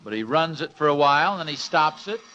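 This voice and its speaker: A fussy, hesitant sitcom husband who grumbles through an old radio comedy. His voice is high and bright, and the recording is dull with faint noise. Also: expressive, fast. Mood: exasperated. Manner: hesitant, exasperated, repetitive. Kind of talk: mid-century radio sitcom acting.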